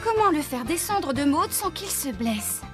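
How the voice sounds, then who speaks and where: A woman in a French children's cartoon dub playing a brisk, caring woman farmer who expressively directs others. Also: sits high, very animated, over music. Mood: encouraging.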